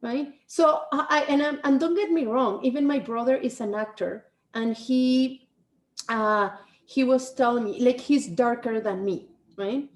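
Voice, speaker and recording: Woman, Spanish accent, high voice, echoey room, loose chatty delivery with lots of restarts. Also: a little slow. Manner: rambling, many restarts and "right?" tags. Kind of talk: casual conversation.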